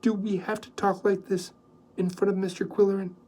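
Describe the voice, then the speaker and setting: A man voicing a gentle, mild-mannered woman who speaks softly. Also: high voice, fairly even intonation, normal speed, far from the mic, pitched above the performer's own voice. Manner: gentle, soft-spoken.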